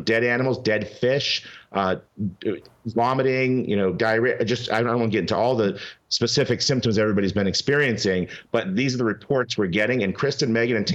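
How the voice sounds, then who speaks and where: Lowish voiced guy ranting urgently on a call-in show, muffled lo-fi line. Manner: emphatic, urgent, with frequent restarts. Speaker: guest, legal advocacy group representative. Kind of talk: animated call-in interview.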